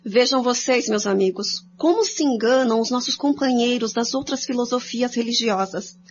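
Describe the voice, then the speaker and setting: A woman's voice: fairly high pitch, moderate pace. A woman with a Brazilian accent giving scripted narration and commentary in a radio drama. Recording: dull lo-fi audio with hum and noise.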